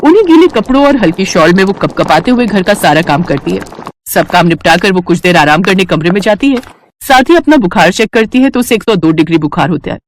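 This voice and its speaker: A woman's voice: fairly low pitch, lively intonation, fairly quick, no room sound. A woman reading scripted moral-story narration as the storyteller.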